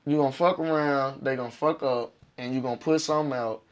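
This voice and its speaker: A young man from Arkansas with a raspy voice, a music artist telling stories in a casual interview, animated and full of slang. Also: mid-range voice, fast talker. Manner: animated, profane storytelling with slang.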